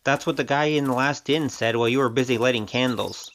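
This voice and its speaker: A loyal wandering fighter with a taste for gambling, played by a man in an audio drama. His voice sits at a medium pitch, casual and wry but sincere underneath. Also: a little fast, a bit bright, close to an everyday voice. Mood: wry, reflective.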